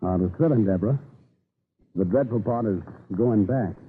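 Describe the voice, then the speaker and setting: An actor playing an eager, polite young colonial messenger in a 1930s radio drama. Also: male voice, on the low side, moderate pace, close to an everyday voice. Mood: tender, tired. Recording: crackly, narrow-band 1930s radio recording.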